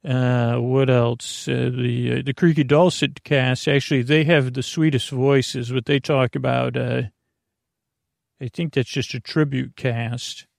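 A man with a creaky, gravelly voice hosting a sleep podcast in a meandering bedtime monologue, halting, absent-minded and self-deprecating. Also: mid-range voice, steady tone, dry recording, clean studio sound.

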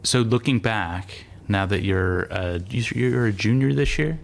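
A man's voice: lowish voice, unhurried, gravelly, slight background noise. A man hosting an interview, asking thoughtful, rambling questions with many hesitations. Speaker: interview host. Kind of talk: conversational interview. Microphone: remote call.

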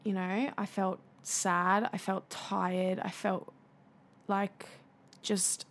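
A woman hosting her own podcast in casual solo chat, her voice a little raspy from singing, talking in a chatty, confiding way with fillers. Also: medium pitch, level tone, extremely slow. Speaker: podcast host.

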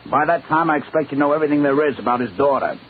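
Actor as a slick pushy swindler, tinny old radio. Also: male voice, fairly high pitch, racing, slight background noise, lightly acted. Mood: menacing. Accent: American. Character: slick, pushy small-time swindler, stocky man. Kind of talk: 1950s radio drama acting.

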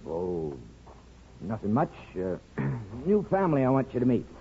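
A man's voice: mid-range voice, very animated, measured pace, slight background noise. An actor playing an earnest, folksy small-town barber and newlywed husband who also narrates his story in a 1950s radio drama. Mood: amiable. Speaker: actor and first-person narrator. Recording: dull 1950s radio recording with music.

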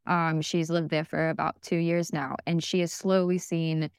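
American woman, young adult, smooth resonant voice, lowish pitch, enthusiastic casual podcast chat. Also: flat delivery, moderate pace, no echo, clean studio sound. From United States. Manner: enthusiastic, chatty with many fillers and restarts. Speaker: podcast guest.

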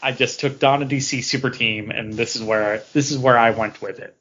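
Male podcast co-host, mid-pitched voice, casual and a bit halting, slightly lo-fi recording. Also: fairly even intonation, a bit bright. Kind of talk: casual chat about comic books. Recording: dull, lo-fi podcast audio.

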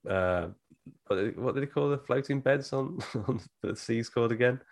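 A man hosting a podcast, chatting and telling stories in a relaxed way. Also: mid-range voice, moderate pace. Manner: relaxed storyteller, laughs easily. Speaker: podcast host. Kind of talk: casual chat.

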